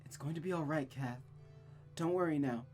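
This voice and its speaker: Woman as a soft, worried, soothing companion. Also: low-pitched, normal speed, faint music behind, lightly acted. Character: gentle, worried young female companion soothing her friend. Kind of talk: dramatic visual novel reading.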